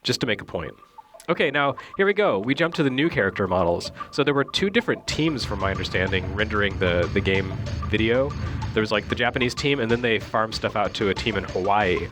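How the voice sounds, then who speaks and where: A man's voice: lowish voice, expressive, a little fast. A man giving casual, opinionated and wry commentary on video games. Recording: music behind the voice.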